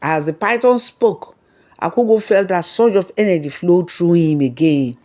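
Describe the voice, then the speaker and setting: Storyteller narrating a folktale, mid-pitched voice. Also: normal speed, a little mellow.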